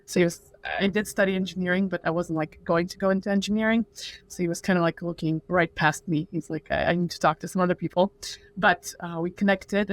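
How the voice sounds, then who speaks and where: A woman's voice: lowish voice, no echo. A woman in her twenties from Uzbekistan, talking casually with lots of "like" fillers as a cofounder guest. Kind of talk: casual podcast interview.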